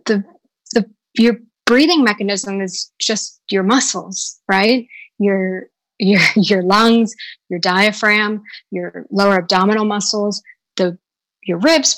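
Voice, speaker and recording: A woman's voice: medium pitch, fairly bright tone, dry recording, poor audio. A woman working as a voice coach, answering interview questions thoughtfully with frequent restarts and repeated words.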